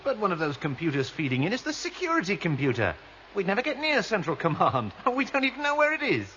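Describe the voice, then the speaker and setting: A wary, eager rebel guide in a 1970s dystopian radio play. His high male voice swings widely with urgency and excitement. The recording is slightly dull, with faint watery noise. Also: quick pace, exaggerated voice. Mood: excited, incredulous. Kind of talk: 1970s science fiction radio drama acting.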